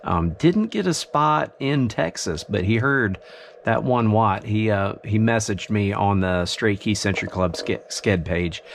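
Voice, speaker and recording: A man with a very low voice talks casually through a hands-on hobby demonstration. He explains things in an unscripted, halting way, working out his thoughts as he goes. The home recording carries some background noise. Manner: halting, thinking aloud as he explains. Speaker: amateur radio hobbyist demonstrating his gear. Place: indoors in a home radio shack. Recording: clear steady background noise.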